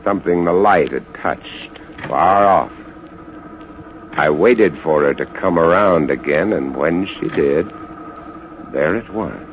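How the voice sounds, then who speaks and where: A man's voice: sits low, slow, pauses often. A man acting a frightened lighthouse keeper who narrates a sea horror tale in an intense, suspenseful old radio style. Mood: hushed suspense. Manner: intense, suspenseful, swinging from hushed to excited. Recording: muffled, hissy old radio drama recording.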